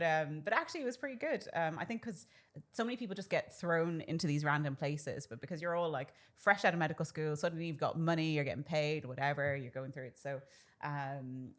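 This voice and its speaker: Low-voiced woman with a softened Irish accent. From Ireland. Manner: laughs often, chatty and relaxed. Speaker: doctor and podcast guest. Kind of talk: casual interview chat.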